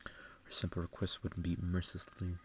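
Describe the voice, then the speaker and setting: A man reading a story aloud in a soft ASMR style, hushed and intimate, often slipping into whispers. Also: low voice, completely flat delivery, moderate pace. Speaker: ASMR reader. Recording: faint background noise.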